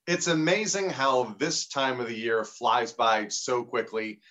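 A man from Connecticut co-hosting a racing talk show, measured and easygoing with many fillers. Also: medium pitch, fairly even intonation, fairly bright tone. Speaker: co-host, track promoter. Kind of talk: casual sports talk.

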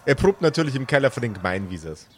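A man's voice: mid-range voice, sing-song, fast, a little hiss or hum. A man acting as game master, improvising narration and bits of character talk.

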